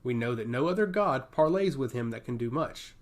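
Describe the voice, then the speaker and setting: A man acts out a poised young princess in a fantasy audio story. The speech is formal, polite and earnest, with a lively rise and fall in pitch. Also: fairly quick, lightly acted. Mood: poised, hopeful.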